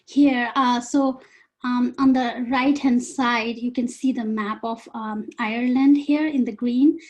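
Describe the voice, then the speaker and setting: A woman from Nepal, presenting earnestly and haltingly over a video call. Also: on the high side, steady tone, darkish tone, dull audio. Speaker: volunteer presenter. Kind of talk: informal online presentation.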